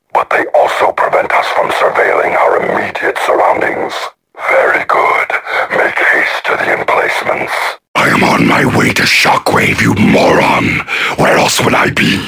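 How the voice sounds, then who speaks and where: An actor voicing a menacing, imperious robot warlord in a video game, with a harsh breathy growl and a pompous, commanding manner. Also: male voice, a bass voice, noisy background, exaggerated voice. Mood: contemptuous, menacing.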